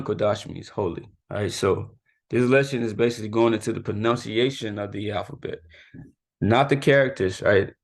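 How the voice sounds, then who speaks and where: A man with a low, gravelly voice teaches in a relaxed, conversational way. He keeps checking in with his listeners, tagging "right?" onto his points and filling gaps with "you know". He laughs off his own slips and keeps going. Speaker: religious youth teacher. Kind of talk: casual spoken lesson.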